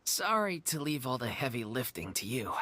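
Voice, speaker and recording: A woman's voice: a bass voice, very animated, normal speed. A woman voicing an earnest, considerate young travelling companion in a video game, polite and a little hesitant. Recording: music under the voice.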